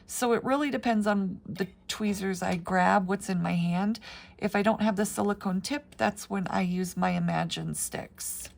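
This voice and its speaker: Raspy woman with flu, chatty crafter. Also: medium pitch, moderate pace, darkish tone, a little hiss or hum. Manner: chatty, rambling with frequent ums. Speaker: paper piecing crafter teaching a tutorial. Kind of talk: casual step-by-step craft tutorial.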